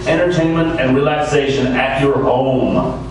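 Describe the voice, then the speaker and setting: A man's voice: medium pitch, steady tone, a little slow, very echoey, close to an everyday voice. Man playing a puzzled tradesman, earnest sales patter, radio sketch, distant mic, noisy background. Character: earnest, puzzled landscaper pitching his business. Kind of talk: comic radio advertisement.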